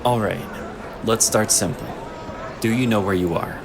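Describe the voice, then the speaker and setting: A man playing a strong, kindly, easygoing elite guardian in a fantasy audio drama, gently insistent and reassuring. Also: fairly low pitch, moderate pace, gravelly. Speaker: voice actor. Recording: noisy outdoor city ambience behind.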